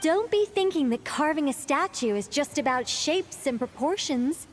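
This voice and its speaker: A woman's voice: sits high, animated, normal speed. A woman voicing a whimsical, self-important female mage in a video game, theatrical, musing and grandly commanding.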